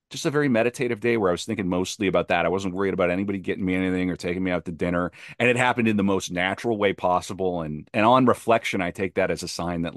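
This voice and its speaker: Low-voiced man, earnest and discursive, dry sound. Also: no room sound. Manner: earnest, discursive, emphatic repetition. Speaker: podcast guest. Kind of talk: casual reflective conversation.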